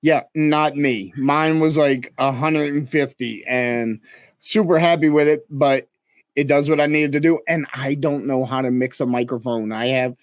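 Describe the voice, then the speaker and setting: A man with a high-pitched voice, hosting a casual interview and readily agreeing with and encouraging his guest. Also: fairly even intonation, measured pace, no echo. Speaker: interview host.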